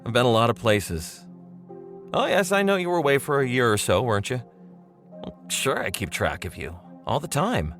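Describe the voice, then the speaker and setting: An actor playing a chummy old pal with veiled menace in an audio drama monologue, talking straight to the listener in a rambling, needling, familiar way. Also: male voice, mid-range voice, big pitch swings, normal speed, fairly bright tone, faint music behind. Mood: chummy, insinuating. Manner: conversational, rambling, chuckling, pointedly familiar.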